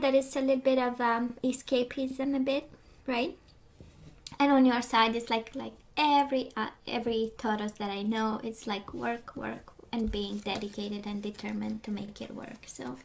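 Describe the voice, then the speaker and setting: A woman's voice: on the high side, fairly even intonation, a little slow. A woman giving a casual tarot reading as she loses her voice, rambling with many fillers and sometimes dropping to a whisper. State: losing her voice. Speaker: tarot reader.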